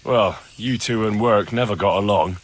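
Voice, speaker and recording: An actor playing an earnest, plain-spoken young blacksmith's son in a video game cutscene. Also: male voice, fairly low pitch, normal speed, natural voice. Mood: frank, easygoing. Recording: music behind the dialogue.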